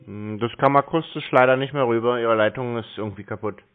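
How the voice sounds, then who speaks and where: A man's voice: mid-range voice, a little fast, clean tone. A man on a call, answering in a dry, evasive and blunt way as a prank caller. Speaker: prank caller stringing along phone scammers. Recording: tinny phone line.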